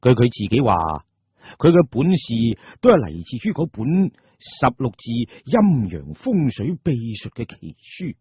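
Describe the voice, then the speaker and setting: A man narrating an audio drama in Cantonese-accented speech, theatrical and suspenseful, with deliberate pauses. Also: mid-range voice, very animated, slight background noise. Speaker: storyteller, narrator. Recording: muffled, dull audio.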